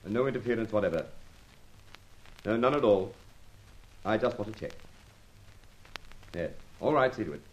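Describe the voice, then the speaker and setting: An actor playing a bluff, genial but sceptical police detective in an old radio serial, hearty, blustering and dryly teasing. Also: male voice, on the low side, animated, moderate pace, pauses often, breathy edge. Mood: brisk, businesslike. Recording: dull lo-fi old radio recording.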